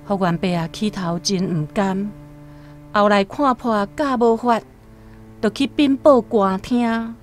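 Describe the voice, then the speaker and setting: A woman with a Taiwanese accent narrating a literary radio drama as an expressive storyteller. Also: medium pitch, takes it slowly, faint music behind.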